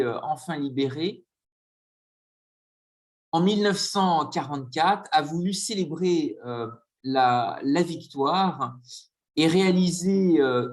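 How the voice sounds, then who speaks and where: Hesitant male lecturer, frequent pauses. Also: a little high. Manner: hesitant, many filler pauses. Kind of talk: conference lecture with slides.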